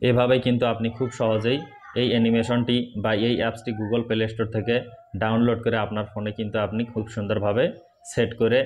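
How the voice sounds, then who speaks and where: A man's voice: lowish voice, darkish tone, a little hiss or hum. A man hosting a tech tips channel, giving step-by-step phone instructions straight to viewers. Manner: addresses viewers directly, instructional. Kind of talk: step-by-step phone tutorial narration.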